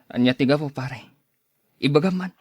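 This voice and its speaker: A man's voice: mid-range voice, normal speed, darkish tone, breathy edge, dry recording, close to an everyday voice. A man with an Ilocano accent acting a heartbroken, self-pitying young man in a radio drama, plaintive and brooding. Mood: bitter hurt.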